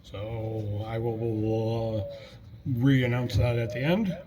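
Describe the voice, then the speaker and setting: A man's voice: medium pitch, fairly even intonation, slow pace, warm tone. A man acting as net controller, calling stations in turn in an orderly, procedural way on a ham radio net.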